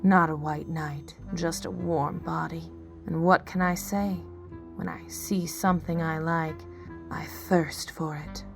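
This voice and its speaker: An actress playing a flirtatious, clingy femme fatale in a parody of 1940s radio drama, coy and seductive. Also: female voice, low voice, steady tone, slow pace, gravelly, over music, heavily acted.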